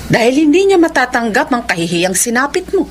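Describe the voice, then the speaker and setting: Worried filipina mom, earnest, voice rises and falls a lot, radio soap. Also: female voice, high-pitched, big pitch swings, a little fast, slight background noise. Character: concerned, supportive widowed mother. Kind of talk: Filipino radio drama acting.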